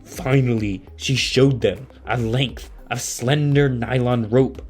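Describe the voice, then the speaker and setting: A man's voice: medium pitch, slow, a little mellow, faint music behind, close to an everyday voice. A man narrating a family audio drama in scripted storybook style.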